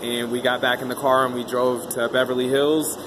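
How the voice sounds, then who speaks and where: A man tells his own story in a loose, rambling monologue, often stopping for an um. His pitch sits in a middle range. A clear air-conditioner hum runs under his voice throughout. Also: little pitch movement, a little fast. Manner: rambling, many um fillers. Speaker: vlogger interviewing himself. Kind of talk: casual self-interview monologue.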